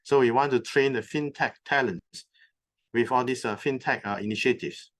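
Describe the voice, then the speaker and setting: A man gives a conference presentation at a mid-pitched, even level. He talks in a halting way, stopping on frequent fillers as he explains each term step by step. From recently moved from North America. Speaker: conference speaker. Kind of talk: presentation talk. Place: conference venue.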